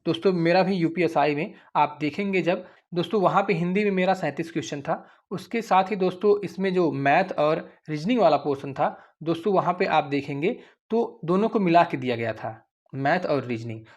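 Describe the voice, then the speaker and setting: A man's voice: a little high, normal speed. A young man giving an informal, repetitive explainer addressed straight to his viewers. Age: young adult. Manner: repetitive, often addressing listeners as friends. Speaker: YouTube host giving exam updates.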